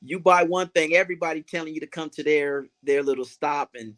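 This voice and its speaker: A man chatting as a guest, telling travel stories in an easygoing, affirming way. His voice sits a little on the high side. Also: a little fast, fairly bright tone. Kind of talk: casual chat about travel.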